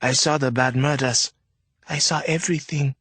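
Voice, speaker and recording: A man's voice: sits high, expressive, normal speed, no echo, pitched above the performer's own voice. A man voicing a frightened, wary young boy with a Nigerian accent, pleading in simple phrases as audiobook dialogue. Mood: quiet, secretive. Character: scared eleven-year-old Nigerian boy, wary gang lookout.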